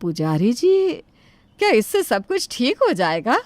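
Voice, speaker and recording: An anxious, insistent aging mother-in-law, voiced by a woman in a cartoon story. She speaks high and slow, drawing her words out in a pleading way. The studio sound is dry and close. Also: wildly swooping pitch, a little mellow, no room sound. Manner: pleading, drawn-out and emotional. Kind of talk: cartoon dubbing.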